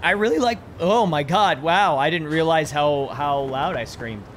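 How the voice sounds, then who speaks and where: A hoarse man in his thirties, casually commenting on a video game as he plays it, reading the subtitles aloud and swearing offhand. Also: fairly high pitch, normal speed, a bit bright, a little hiss or hum. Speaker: streamer. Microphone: headset or desk mic, webcam.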